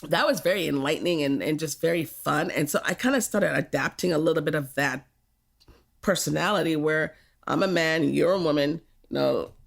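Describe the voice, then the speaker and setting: A woman's voice: low-pitched, moderate pace, vocal fry. An older woman from Jamaica talking frankly in a podcast conversation, often laughing as she reflects.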